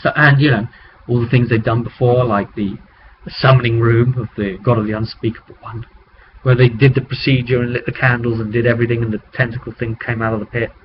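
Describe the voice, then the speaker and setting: A man talking casually and haltingly as a game master recapping a tabletop game, rambling with conspiratorial asides. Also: mid-range voice, flat delivery. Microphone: webcam microphone. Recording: muffled webcam mic with background noise.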